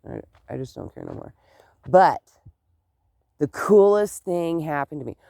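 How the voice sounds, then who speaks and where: A stuffed-up vlogger talking slowly and loosely, wandering from one thought to the next. The voice is mellow and smooth, with a congested, nasal edge from a head cold. The sound is dry and close. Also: mid-range voice, animated, no room sound. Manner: chatty, meandering, exclaims often. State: congested, stuffed-up nose and clogged ears. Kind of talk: casual rambling chat to camera.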